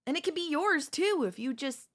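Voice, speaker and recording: A woman's voice: high-pitched, animated, normal speed, fairly bright tone. A woman playing a chatty, cheeky female zombie in a comedic audio roleplay, breezy and falsely friendly. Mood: persuasive. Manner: breezy coaxing, falsely friendly, awkward backpedalling.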